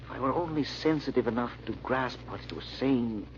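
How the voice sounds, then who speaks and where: Actor as an earnest amateur sleuth on muffled radio. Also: male voice, mid-range voice, animated, a little fast, lightly acted. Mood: haunted, brooding. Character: haunted, earnest gentleman amateur sleuth narrating his search. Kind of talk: first-person old-time radio drama narration. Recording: muffled old radio recording with hiss.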